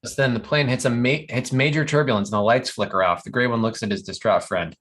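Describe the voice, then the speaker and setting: A narrator with a husky voice reading scripted stage directions at an animated comedy table read. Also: medium pitch, quick pace, background noise.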